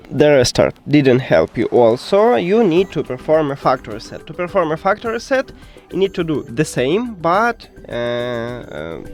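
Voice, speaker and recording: A man walks through a how-to in a mid-pitched voice that rises and falls a lot. His delivery is hesitant and full of filler sounds, with simplified non-native English phrasing. Music plays behind him. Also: darkish tone. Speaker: tech tutorial presenter. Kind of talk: unscripted step-by-step how-to narration.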